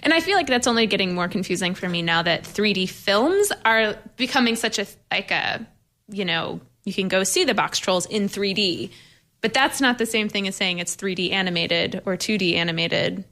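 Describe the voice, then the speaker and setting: A woman's voice: medium pitch, lively intonation, moderate pace, bright, forceful tone. A woman on a panel, asking questions and giving opinions in a casual film discussion.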